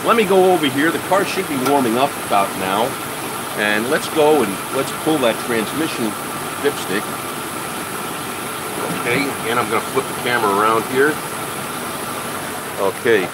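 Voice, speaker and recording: An older man, congested from a head cold, hosting a chatty car-maintenance livestream and talking with many pauses and restarts. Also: medium pitch, lively intonation. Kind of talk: casual livestream chat with viewers. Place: home garage or driveway beside a running car. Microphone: phone camera. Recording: loud engine noise behind.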